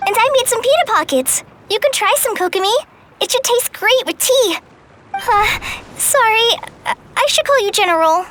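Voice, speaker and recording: Shy, high-pitched, sweet young girl. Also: lively intonation, normal speed, fairly bright tone, slight background noise. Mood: eager, shy. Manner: hesitant, deferential, earnest. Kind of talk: video game voice acting.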